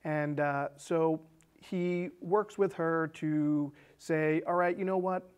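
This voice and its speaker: An American man in his thirties talks through a movie in a casual, conversational way. His voice sits a little higher than average, and he tends to circle back and repeat himself as he explains. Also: steady tone, slow pace, clear, resonant tone. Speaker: film discussion show co-host. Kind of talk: casual conversational film recap. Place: small indoor studio set with curtain.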